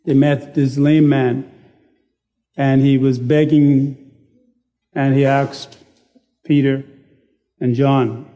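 Male preacher, warm and dark in tone, deliberate and self-deprecating. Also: mid-range voice, flat delivery. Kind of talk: sermon. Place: church sanctuary.

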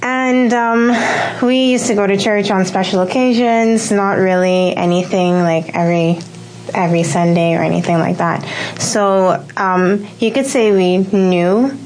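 A woman's voice: medium pitch, fairly even intonation, a little slow, darkish tone, rough recording. A young woman from Curacao telling her testimony in a radio studio, hesitant with many ums. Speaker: guest sharing testimony. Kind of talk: personal testimony in interview.

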